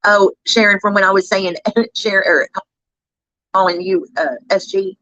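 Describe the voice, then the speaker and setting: A man's voice: sits high, steady tone, normal speed, sharp tone, no room sound. A man on a casual, profane rant, sarcastic and aggrieved, with speed-altered playback. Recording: dull, lo-fi replayed stream audio.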